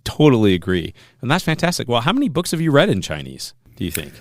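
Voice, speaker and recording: A man co-hosting a podcast, interviewing a guest in a quick, encouraging way. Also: mid-range voice, huge pitch swings, no echo, clean studio sound. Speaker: podcast co-host. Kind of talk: casual interview chat.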